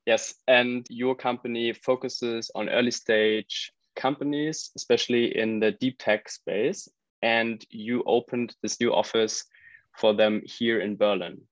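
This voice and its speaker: A man's voice: mid-range voice, fairly even intonation, unhurried, no room sound, lo-fi sound. A man hosting a podcast, interviewing guests in a conversational style. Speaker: podcast host.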